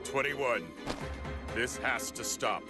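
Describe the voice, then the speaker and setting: A man's voice: medium pitch, slow pace, lightly acted. A man playing a stoic, protective giant android in an anime game, speaking formally and with measure. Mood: concerned, urgent. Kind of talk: dubbed anime game voice acting. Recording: music under the voice.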